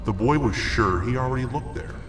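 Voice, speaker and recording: A middle-aged man narrating a scary story in a deliberate, suspenseful, dramatic way. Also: mid-range voice. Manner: slow, deliberate, suspenseful delivery. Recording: roomy echo, music underneath. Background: music.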